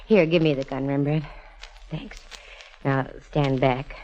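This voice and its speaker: A woman's voice: rumbling low, fairly even intonation, measured pace. An actress playing a brash, wisecracking female private detective in an old radio drama, with breezy, slangy delivery. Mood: cool, taunting. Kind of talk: 1940s-50s radio detective acting. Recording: muffled vintage radio broadcast.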